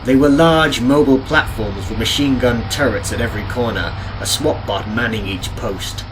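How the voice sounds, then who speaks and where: A man reading scripted audiobook narration of an adventure story in a deliberate, dramatic, descriptive way. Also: mid-range voice, noisy background. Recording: music behind the narration. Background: game and cartoon soundtrack music.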